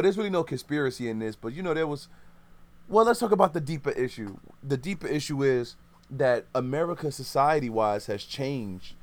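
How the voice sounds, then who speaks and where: A man in his thirties in casual podcast chat, rambling and opinionated, often correcting himself mid-sentence. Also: medium pitch.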